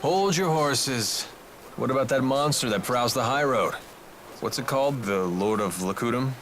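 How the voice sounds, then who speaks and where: A man's voice: medium pitch, animated, moderate pace, a bit bright, a little hiss or hum, natural voice. A man playing a sly mercenary in a video game, talking in a casual, sardonic, teasing way.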